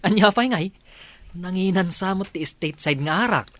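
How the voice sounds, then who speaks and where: Man playing a jokey Filipino pal, wildly animated. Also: high-pitched, normal speed, no room sound. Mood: playful, cajoling. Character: jokey, drink-loving young bachelor pal in village comedy. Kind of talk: Filipino radio drama acting.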